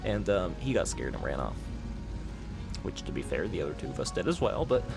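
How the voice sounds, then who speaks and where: Low soft-spoken young male voice, a man acting as a playful chatty boyfriend at the wheel, ASMR roleplay, casual rambling, music behind. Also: big pitch swings, a little fast, gravelly, full character voice. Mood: reminiscing, relaxed. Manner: casual, rambling, gently teasing. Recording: music under the voice.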